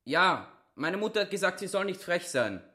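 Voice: medium pitch, sing-song, normal speed, bright, forceful tone. A performer playing an indignant, tattling small boy in a radio play, whining and complaining. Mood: indignant complaint.

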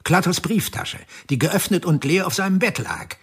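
A probing, raspy detective. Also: male voice, high voice, normal speed, a bit bright, full character voice. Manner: questioning, probing. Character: probing male police investigator, questioning. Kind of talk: radio play acting.

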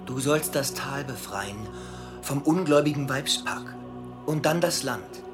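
An actor playing a manipulative, sinister tempter in an audio drama, insistently urging on a chosen one. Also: male voice, mid-range voice, expressive, a little slow, over music, close to an everyday voice. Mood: insinuating, urging. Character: sinister male tempter inciting a chosen saviour.

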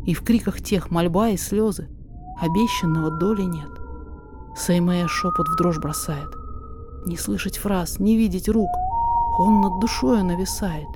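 A woman narrator reading a poetic story aloud in expressive, measured, dramatic verse. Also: medium pitch, very noisy.

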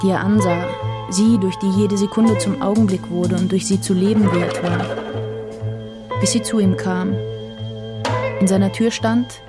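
A woman reading poetic narration for a radio play in a measured, literary way. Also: medium pitch, lightly acted. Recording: music behind the voice. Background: plucked guitar music.

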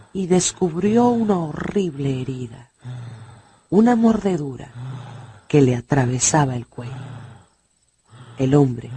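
An actress narrating an adventure novel as a storyteller in a dramatized radio audiobook. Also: female voice, deep voice, a little mellow. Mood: dramatic, tense.

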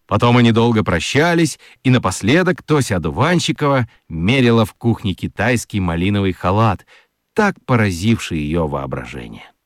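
A man narrating a children's radio story in an expressive, scripted storytelling style. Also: on the low side, normal speed, no echo, clean studio sound.

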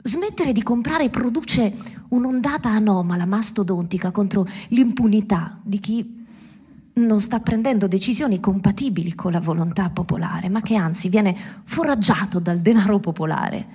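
Sardonic, slightly husky woman giving a monologue. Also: medium pitch, moderate pace, a little mellow. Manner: rhetorical questions, emphatic repetition, sardonic swearing. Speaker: speaker at a benefit event for Gaza. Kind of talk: impassioned satirical monologue to an audience. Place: live public event, speaking to an audience.

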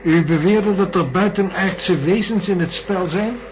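An actor playing a professor in a radio drama, asking formal, probing questions. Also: male voice, sits high, unhurried, slight background noise, rough recording. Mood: skeptical. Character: skeptical, questioning male professor.

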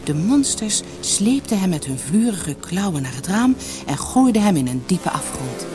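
A woman narrating a fairy tale for an audiobook in an expressive, dramatic storytelling manner. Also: fairly low pitch, normal speed, over music.

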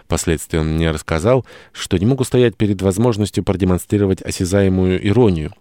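A man's voice: sits low, brisk, dark tone, no echo, studio quality. A man reading a scripted first-person story as an audiobook narrator, acting out the dialogue as he goes.

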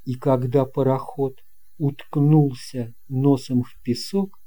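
A man narrating a children's tale as an audiobook reader, in a measured, expressive way. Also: mid-range voice. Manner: slow, measured, expressive reading.

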